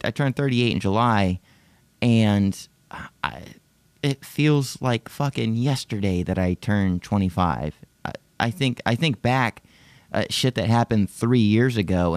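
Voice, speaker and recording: A man's voice: medium pitch, darkish tone, dry recording. A man in his late thirties, rambling and profane in casual self-deprecating podcast chat. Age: 30s. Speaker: podcast host.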